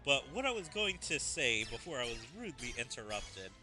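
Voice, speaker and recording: Bright, forceful man commenting on a game. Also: medium pitch, big pitch swings, brisk, faint music behind. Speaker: gaming video host. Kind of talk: casual gameplay commentary.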